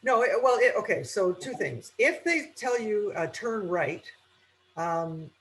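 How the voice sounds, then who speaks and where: A woman giving an informal aviation webinar lecture in a halting, explanatory manner. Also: medium pitch, animated, fairly bright tone, low-quality recording. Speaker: aviation instructor.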